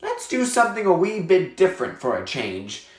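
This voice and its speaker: A man's voice: sits high, huge pitch swings, moderate pace, voice pushed up. A man reading the part of a friendly, encouraging Irish aid worker in a storybook. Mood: warm encouragement. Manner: kindly, encouraging, informal. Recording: echoey room.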